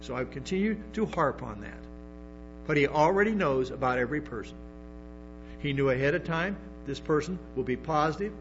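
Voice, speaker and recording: A man's voice: a little high, animated, moderate pace. Male preacher, sermon, repeats and restarts, muffled lo-fi sound, music and hum behind. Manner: repetitive restarts, emphatic asides to the congregation. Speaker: pastor.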